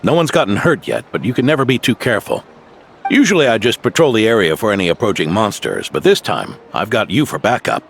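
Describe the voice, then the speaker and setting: A man voicing an aging, stubborn veteran knight near retirement, genial and gruff, in a game cutscene. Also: fairly low pitch, sing-song, quick pace, slight background noise. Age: older.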